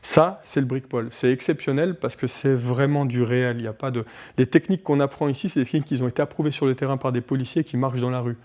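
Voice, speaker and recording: A man in his forties from Switzerland, a martial arts instructor talking in a local TV interview, animated and restarting often with many fillers. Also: medium pitch, fast. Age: 40s.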